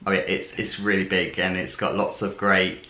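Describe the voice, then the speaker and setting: Low, bright-toned man, echoey. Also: moderate pace. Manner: relaxed, hesitant, adds asides. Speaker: travel vlogger. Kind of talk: casual planning chat. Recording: echoey room.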